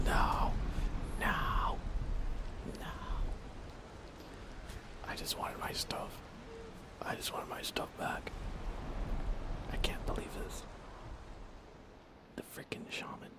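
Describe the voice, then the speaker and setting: A man's voice: medium pitch, very animated, slow pace, pauses often. A man giving murmured ASMR commentary while livestreaming a video game, often repeating his phrases in playful mock grumbling. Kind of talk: whispered ASMR gaming commentary.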